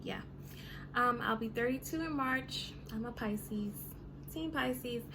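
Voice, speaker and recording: Chatty woman in her thirties, high voice. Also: slow, noisy background. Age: 30s. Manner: chatty, many fillers like "you know" and "um". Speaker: vlogger. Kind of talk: casual rant to camera.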